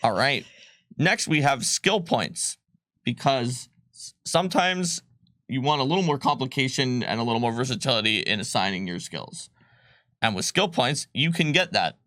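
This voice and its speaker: Bright-voiced man explaining game rules. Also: mid-range voice, moderate pace, dry recording, studio quality. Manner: relaxed, chatty, with many fillers and laughs. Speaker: game designer presenting a rulebook on a live stream. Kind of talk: casual explanatory stream chat.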